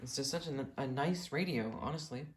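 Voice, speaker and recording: Excited, rambling American radio hobbyist. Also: mid-range voice, normal speed, poor audio. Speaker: video host showing a radio collection. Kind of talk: casual enthusiastic collection tour.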